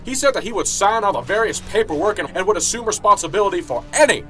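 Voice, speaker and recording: A man's voice: high voice, expressive, quick pace, sharp tone, faint music behind. A man playing a middle-aged, corrupt small-town police sergeant in an amateur radio drama. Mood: furious, indignant. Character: corrupt small-town police sergeant, chummy until cornered, then indignant.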